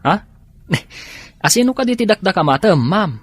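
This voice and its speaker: A man's voice: sits high, sing-song, a little slow, husky, no room sound, exaggerated voice. A man playing a dim, teasing fellow in comic radio drama banter. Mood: playful, teasing. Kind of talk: radio drama comedy acting.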